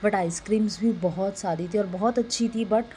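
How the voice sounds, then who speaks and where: A young adult woman with a sore, slightly hoarse throat, chattily narrating a casual family vlog voice-over and mixing in English words. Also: mid-range voice, moderate pace, dark tone, slight background noise. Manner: conversational, mixes in English words. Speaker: vlogger narrating a family outing.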